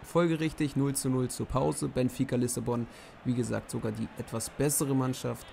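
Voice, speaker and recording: Hoarse young man, gravelly voice, recaps matches like a commentator, faint noise behind. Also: medium pitch, normal speed. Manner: lively play-by-play summary. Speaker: host commentating simulated matches.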